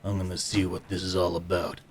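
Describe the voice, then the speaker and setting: Actor as a cocky mob dock thug. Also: male voice, deep voice, little pitch movement, brisk, noisy background, natural voice. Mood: wary, defiant. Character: cocky dockside union thug, gangster sidekick. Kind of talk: audio drama acting.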